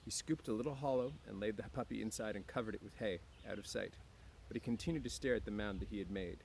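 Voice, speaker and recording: A man's voice: lowish voice, fast, a little mellow. A man with an easygoing teacher's delivery, talking to students and reading a book aloud outdoors. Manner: frequent throat clearing. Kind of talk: casual chat to students and book read-aloud. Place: outdoors near woods. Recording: clear outdoor background noise.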